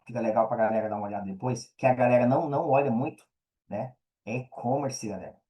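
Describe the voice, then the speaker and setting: A Brazilian man with a mellow, relaxed tone and a medium pitch chats casually as on a podcast. His speech is slangy and conversational, often doubling back on a phrase for emphasis. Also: fast.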